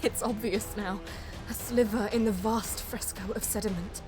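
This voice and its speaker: An intense, mystical elf seer, acted by a woman, tells her tale in an audio drama. Her delivery is solemn and theatrical, with a driven edge. Music plays clearly behind her medium-pitched voice. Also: steady tone, normal speed, close to an everyday voice. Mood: awed. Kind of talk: dramatic first-person audio drama narration and dialogue.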